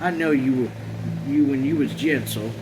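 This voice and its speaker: A man's voice: mid-range voice, measured pace, close to an everyday voice. Man reading as blunt, folksy young son, noisy recording. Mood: teasing. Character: easygoing, tough rural young man, teasing son. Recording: loud steady air or car noise.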